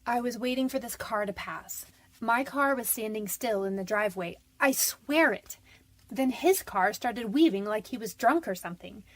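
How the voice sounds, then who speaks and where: A woman's voice: a little high, normal speed, close to an everyday voice. An actress playing a frightened but defiant young convicted woman in a radio detective drama, defensive, pleading and slangy. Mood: anxious recounting.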